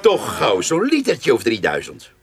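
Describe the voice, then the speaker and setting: An actor playing a cheeky, proud farmhand inventor in a radio play, colloquial with mock-grand salesmanship. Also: male voice, medium pitch, wildly swooping pitch, measured pace, breathy edge, exaggerated voice. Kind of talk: comic radio play dialogue.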